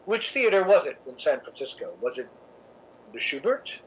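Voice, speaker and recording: An actor playing a suave, cynical, scheming theatre critic in a radio drama, with an arch, measured, theatrical delivery. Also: male voice, fairly high pitch, sing-song, breathy edge, poor audio. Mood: smooth, probing. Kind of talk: old-time radio drama narration and acting.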